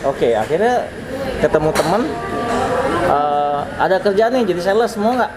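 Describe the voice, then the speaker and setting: Relaxed man in his thirties, loud crowd behind. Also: high voice, normal speed, poor audio. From Sumatra, Indonesia. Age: 30s. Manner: relaxed, chuckles often, lots of fillers. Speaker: guest, branch manager. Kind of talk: casual interview storytelling.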